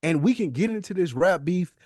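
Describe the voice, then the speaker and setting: A man's voice: high voice, lively intonation, brisk, darkish tone, no echo, studio quality. An American man co-hosting a podcast in casual, argumentative chat, blunt and emphatic, repeating himself to press his point. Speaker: podcast co-host.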